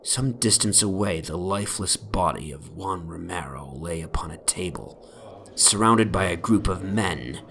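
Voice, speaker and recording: A man giving a solemn, brooding dramatic reading of a first-person horror story, in literary phrasing that often sinks into a hush. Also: deep voice. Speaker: narrator, dramatic reader. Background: ambient soundscape.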